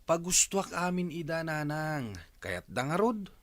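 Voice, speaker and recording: A man's voice: fairly high pitch, sing-song, measured pace. An actor playing a cocky, defiant grown son in a radio drama, a man's voice. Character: cocky, defiant grown child of a scolding mother. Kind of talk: Ilocano radio drama acting.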